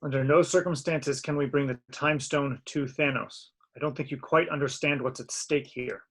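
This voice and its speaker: A man's voice: a little high, steady tone, normal speed, fairly bright tone. A man playing a stern, grave sorcerer doctor in an amateur script read, firm and formal. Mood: urgent, stern.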